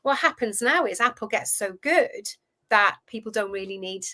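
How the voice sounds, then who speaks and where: A Welsh woman in her fifties, a coach telling her career story in a chatty, animated way as an interview guest. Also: mid-range voice, normal speed. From Wales. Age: 50s. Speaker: guest, food relationship coach. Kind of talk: casual interview chat.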